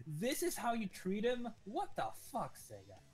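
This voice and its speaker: A young man in a casual gaming chat, excitable, stammering and restarting when heated. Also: sits high, unhurried, music in the background.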